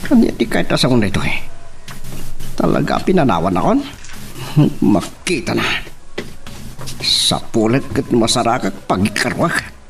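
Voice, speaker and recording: A man's voice: medium pitch, gravelly, a little hiss or hum. A man acting a desperate, emotional alcoholic husband in an Ilocano drama, muttering and exclaiming with a Filipino accent. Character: volatile, desperate alcoholic man abandoned by his wife.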